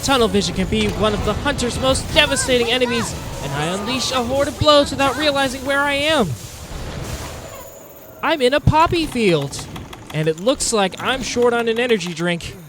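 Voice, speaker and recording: A man's voice: very high voice, animated, moderate pace. A man narrating a video game hunt as a dramatic, roleplayed story with playful, wry asides, like a scripted storyteller. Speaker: gameplay narrator. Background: music.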